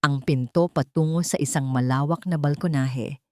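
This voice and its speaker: Low-voiced woman narrating a dramatic story. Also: steady tone, moderate pace, no echo. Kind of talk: scripted dramatic narration of a love and suspense story.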